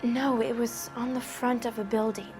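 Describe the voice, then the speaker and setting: A woman plays a scared, dazed young woman in a crime game. Her voice is fairly high, hesitant and fragile, over faint music. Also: flat delivery, normal speed, lightly acted. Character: frightened, dazed, naive young aspiring actress. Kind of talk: video game drama acting.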